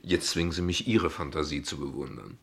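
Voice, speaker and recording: An actor in a German radio play as a guarded, ironic father, dryly formal and deliberate, with a gravelly, husky voice. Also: rumbling low, steady tone, full character voice. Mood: dry, amused. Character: guarded, ironic father, courteous but defensive.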